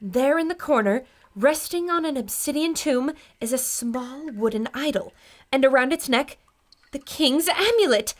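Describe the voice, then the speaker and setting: A woman's voice: high-pitched, animated, a little slow. An actress playing a female radio reporter who narrates the adventure to listeners in a vivid, descriptive way.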